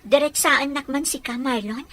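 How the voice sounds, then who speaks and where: A woman's voice: high-pitched, moderate pace, pushed and bright, slight background noise. A woman playing an earnest, persistent young girlfriend in an audio drama, insistent in how she speaks. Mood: suspicious, insistent. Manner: insistent, probing.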